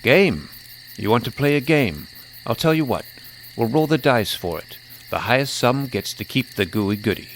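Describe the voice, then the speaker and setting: A man's voice: mid-range voice, expressive, moderate pace, a little hiss or hum, natural voice, rough recording. A man playing a sly, greedy trickster jester in a fantasy audio story, with a showy, teasing way of savouring his words. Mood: challenging, playful.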